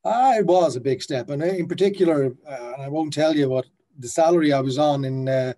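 A man's voice: a little high, brisk, dark tone. A man in his fifties who grew up in the Netherlands, a brewery founder talking on a video call from his office, hesitant, with many fillers and restarts. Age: 50s. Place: office with a brand banner behind. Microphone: laptop webcam on a video call.

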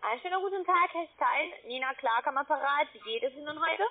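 High-voiced woman with foreign accent, phone line. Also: lively intonation, brisk, a little hiss or hum. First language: not German. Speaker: call centre agent. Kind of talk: phone sales conversation.

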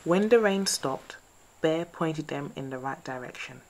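A woman storyteller reading a children's picture book aloud in an expressive, scripted bedtime-story style. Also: deep voice, a little slow, low-quality recording.

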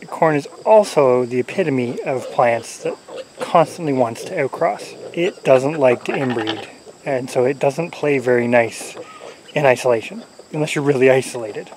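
A man in his forties, a homesteader talking casually to a handheld camera outdoors, relaxed and rambling with many fillers and chuckles. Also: medium pitch, normal speed. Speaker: homesteader vlogger. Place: outdoors in a corn garden. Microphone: camera-mounted mic at arm's length. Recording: faint outdoor insect noise. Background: crickets and insects.

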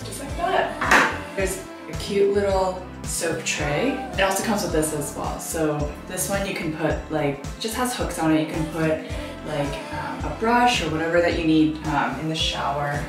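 A woman's voice: low voice, animated, unhurried. A woman in her thirties chatting casually to camera as a vlogger, thinking aloud as she does tasks around an empty apartment. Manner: informal, thinking aloud while doing tasks. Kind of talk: casual vlog chat. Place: empty apartment and small tiled bathroom, indoors. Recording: echoey empty rooms, background music.

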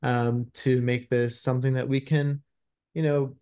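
A man in his thirties speaks on a video call in a conversational interview style. He is effusive and thoughtful, often searching for the right word. His pitch sits in the middle of the male range. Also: little pitch movement, unhurried, warm tone. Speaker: screenwriter being interviewed. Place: indoors in a room at home, on a video call. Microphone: computer video-call microphone.

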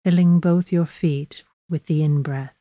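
A woman leads a guided body scan meditation. Her voice sits low and is soft-edged and dark. She speaks slowly and gently, in measured instructions with long pauses, in a very dry recording. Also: fairly even intonation, no echo.

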